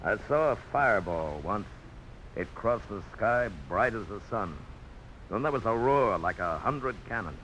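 An actor playing a proud, visionary frontier blacksmith with a folksy, dramatic storytelling delivery in a 1950s radio drama. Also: male voice, lowish voice, lively intonation, measured pace, fairly bright tone. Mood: awed, intense. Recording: noisy old radio broadcast.

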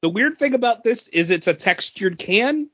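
A man's voice: sits high, expressive, normal speed, a bit bright. A man co-hosting a podcast, chatting casually with the others. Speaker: podcast co-host. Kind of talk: casual chat. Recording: dull lo-fi recording.

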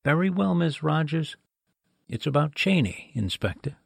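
An actor playing a measured, formal medical examiner who explains precisely, in a 1940s-style radio drama. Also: male voice, on the high side, sing-song, gravelly, slightly rough, no room sound, studio quality, heavily acted. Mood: grave, courteous.

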